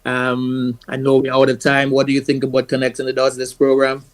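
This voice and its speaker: A man hosting a radio show who talks fast in a mid-range voice. He encourages his guest and repeats his phrases for emphasis. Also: mid-range voice, monotone.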